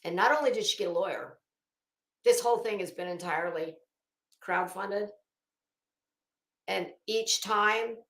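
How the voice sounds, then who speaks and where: A woman giving informal, opinionated commentary in an emphatic, scornful manner, swearing freely and pausing dramatically. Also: mid-range voice, fairly bright tone, reverberant. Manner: emphatic, scornful, profane, dramatic pauses and repetition. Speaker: online commentator.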